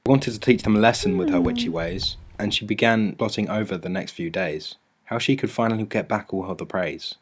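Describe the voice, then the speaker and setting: A man narrating a rhyming children's story in a measured, scripted storybook delivery. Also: on the low side, slightly rough. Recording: music bed under the voice. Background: music.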